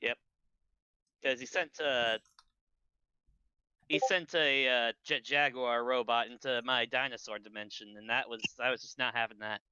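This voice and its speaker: Crisp, bright male voice, dull lo-fi audio. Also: mid-range voice, steady tone, normal speed, long pauses, no echo. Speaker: player in the tabletop game. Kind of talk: casual chat, brief interjections.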